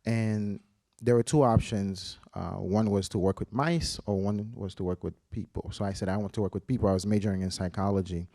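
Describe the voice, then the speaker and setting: A man's voice: fairly low pitch, fairly even intonation, fairly quick, gravelly, dark tone, no echo, studio quality. A man in his forties from Haiti, a researcher on a conference panel, telling his story earnestly with many restarts and fillers. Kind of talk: panel discussion, personal story.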